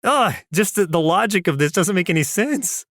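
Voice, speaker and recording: Higher-pitched man recapping casually. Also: lively intonation, moderate pace, a bit bright, dry recording, clean studio sound. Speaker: podcast co-host. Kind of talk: casual chat, episode recap.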